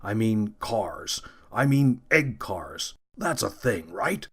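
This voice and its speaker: A man's voice: lowish voice, big pitch swings, slow pace, slightly rough, full character voice. A man voicing a jovial, blustering police chief in a read-aloud story. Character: blustering middle-aged male police chief. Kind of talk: storybook character voice.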